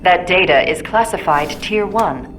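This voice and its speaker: Very low-voiced imperious woman scientist. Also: animated, a little slow, background noise. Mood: cold, indignant. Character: stern, imperious older female scientist with authority. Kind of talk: video game cutscene acting.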